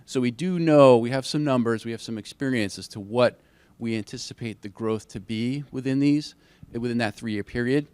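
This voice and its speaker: A man's voice: mid-range voice, moderate pace, darkish tone, clear, resonant tone, no room sound. A man answering questions as a contracting officer at an industry briefing, careful and hedging, with frequent restarts.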